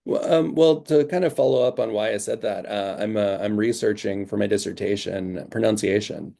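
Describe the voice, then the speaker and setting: A man's voice: fairly low pitch, normal speed, creaky, mellow. A young man hosting an interview, asking questions hesitantly with many fillers. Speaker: interviewer, host.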